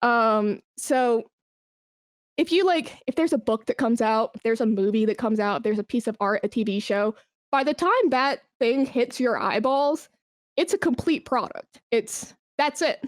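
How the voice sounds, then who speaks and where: A woman's voice: on the high side, expressive, moderate pace, no room sound, studio quality. A woman talking informally as an interview guest, explaining things with examples and emphasis. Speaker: esports brand manager, podcast guest. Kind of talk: conversational interview.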